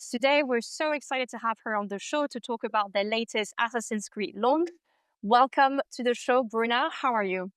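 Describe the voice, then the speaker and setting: A woman's voice: a little high, normal speed, no echo, clean studio sound. A woman co-hosting an interview podcast, introducing a guest with upbeat enthusiasm. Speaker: podcast co-host.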